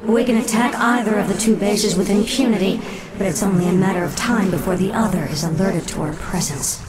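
A woman's voice: medium pitch, brisk, very noisy, lightly acted. A woman playing a cold, proud, commanding undead banshee queen in a fantasy video game. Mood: fierce, commanding.

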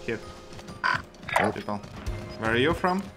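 Pemain game pria beraksen Rusia. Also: male voice, medium pitch, animated, takes it slowly, music in the background. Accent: Russian. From Russia. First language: Russian. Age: 20s. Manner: short broken English phrases. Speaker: streamer. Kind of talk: casual gaming chat.

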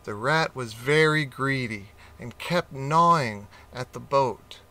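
A man reading a children's animal story aloud in a slow, gentle, expressive way. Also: medium pitch. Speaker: audiobook reader.

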